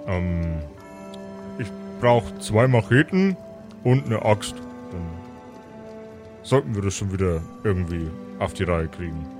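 A man playing a devoted, nervous machete-wielding fellow who mutters haltingly in an improvised role-play audio story. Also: low-pitched, animated. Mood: self-assured. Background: music under the voice.

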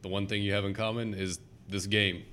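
A man co-hosting a casual sports podcast, asking questions in an animated, joking way and acting out quotes. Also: on the low side, a little fast, a little hiss or hum. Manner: animated, jokey, acts out quotes. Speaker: podcast co-host.

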